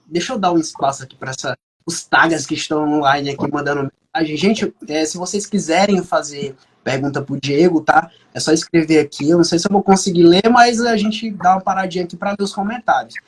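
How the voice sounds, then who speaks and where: Husky, higher-voiced podcast host. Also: male voice, fairly quick, few pauses. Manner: hesitant, many fillers. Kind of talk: casual live podcast hosting.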